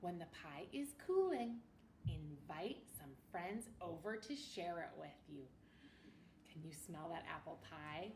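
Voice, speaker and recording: Female teacher for kids' storybook read-aloud: very lively intonation, expressive, asks questions of listeners; distant mic with faint noise. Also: lowish voice, roomy sound. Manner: animated, expressive, pausing to ask the listeners questions. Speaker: elementary school teacher. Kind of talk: picture book read-aloud for children.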